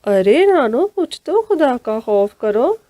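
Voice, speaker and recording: महिला की आवाज़ में ऊँचे सुर की निडर मौसी चिड़िया. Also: female voice, sits high, very animated, takes it slowly, warm tone, no echo, heavily acted. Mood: indignant. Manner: indignant, motherly, plain-spoken. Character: protective, outspoken older auntie bird. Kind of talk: Hindi cartoon story voice.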